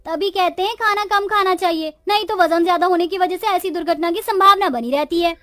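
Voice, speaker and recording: A woman's voice: very high-pitched, fairly quick, bright, forceful tone, dry recording, studio quality. A woman voicing poor, resourceful village women in a Hindi cartoon moral story, with a dramatic, complaining manner. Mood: alarmed. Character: village women of a poor family, resourceful daughters-in-law and their mother-in-law.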